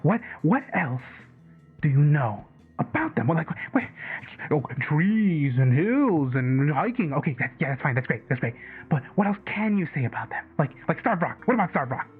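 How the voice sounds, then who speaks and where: A man's voice: fairly high pitch, big pitch swings, normal speed, husky, heavily acted. An actor playing an agitated, obsessive conspiracy caller in a radio drama, stammering, repeating himself and swearing as he rambles. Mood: insistent, probing. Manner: stammering, repetitive, profane rambling. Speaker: caller on a late-night radio show. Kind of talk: audio drama acted as casual talk radio. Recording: tinny phone-like line with background music.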